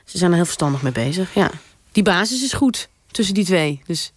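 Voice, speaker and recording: A chatty, earnest woman guest in a deadpan radio comedy. She rambles hesitantly and matter-of-factly, in a very dry, close studio sound. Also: on the low side, a little fast, breathy edge, no echo. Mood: earnest, unbothered. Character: chatty, obliviously earnest woman absorbed in her imaginings.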